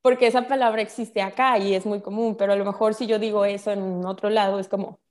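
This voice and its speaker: A woman with a Mexican accent explains ideas in a casual, expressive, conversational way full of colloquial slang. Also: mid-range voice, quick pace. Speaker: guest explaining spiritual ideas.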